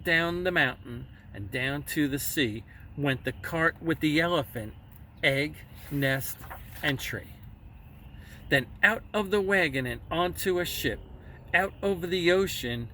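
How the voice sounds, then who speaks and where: A man narrates a children's story in a slow, theatrical voice that swings widely in pitch. His phrasing is emphatic and deliberate, and the recording is a little distant with faint noise behind it. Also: sharp tone. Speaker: storyteller reading a children's book aloud.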